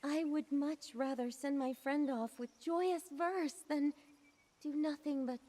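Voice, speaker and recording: High-voiced, gentle wandering bard. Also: female voice. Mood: wistful, tender. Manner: lyrical, unhurried storytelling. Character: gentle wandering female bard, poetic and serene. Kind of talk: scripted video game voice acting.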